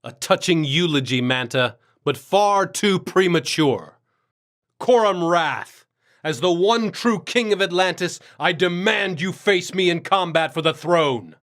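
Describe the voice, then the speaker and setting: A man's voice: high-pitched, lively intonation, measured pace, fairly bright tone. An actor playing a noble, resolute deposed king in a video game, with a solemn, heroic, declamatory manner. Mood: defiant challenge. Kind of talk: video game hero acting.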